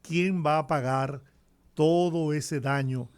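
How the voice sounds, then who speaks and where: A man's voice: a little high, fairly even intonation, takes it slowly, clear, resonant tone. Courteous male radio host. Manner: formal, courteous questioning. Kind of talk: radio interview.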